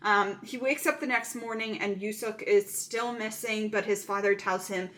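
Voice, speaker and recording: A woman's voice: mid-range voice, fairly even intonation, normal speed, a bit bright. A woman casually recapping a thriller movie plot, chatty and full of "um" fillers.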